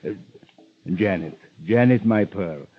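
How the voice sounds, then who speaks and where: An actor playing a suave, ruthless criminal mastermind with courtly, flowery politeness, flattering and menacing in a golden-age radio drama. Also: male voice, on the low side, slow. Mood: oily, persuasive. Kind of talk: 1950s radio drama acting. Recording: dull vintage radio recording.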